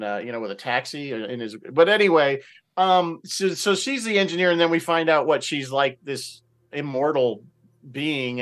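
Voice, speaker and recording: A man with an average-pitched voice shares opinions in a casual roundtable. He rambles as he goes. Faint music plays behind his talk. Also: a bit bright. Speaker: panelist.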